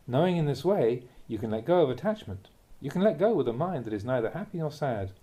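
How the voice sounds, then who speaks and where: A man reading a Buddhist teaching aloud in measured, deliberate short phrases, in the manner of a spoken audiobook. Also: medium pitch, warm tone. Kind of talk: read-aloud dharma talk, audiobook narration.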